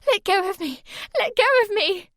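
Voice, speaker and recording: A woman's voice: high-pitched, moderate pace, no echo, studio quality, pitched above the performer's own voice, close to an everyday voice. A woman reading aloud and voicing a frightened teenage girl who pleads desperately and tearfully. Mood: screaming.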